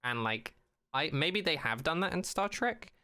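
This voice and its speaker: A man's voice: on the high side, big pitch swings, measured pace, bright, forceful tone. A man co-hosting a podcast in a casual, informal and rambling way, with a jokey streak. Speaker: podcast co-host. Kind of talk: casual banter.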